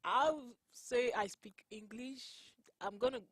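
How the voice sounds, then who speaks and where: A young woman from Nigeria with a Nigerian accent, whose first language is Igbo, answering interview questions in an earnest, unhurried way and laughing often. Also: a little high, fairly bright tone, breathy edge, no echo. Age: young adult. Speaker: college basketball player.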